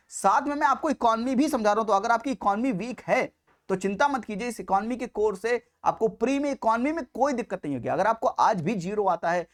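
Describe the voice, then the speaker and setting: A man with an Indian accent teaching as an exam coach, lecturing emphatically and repeating key points in mixed Hindi and English. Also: high-pitched.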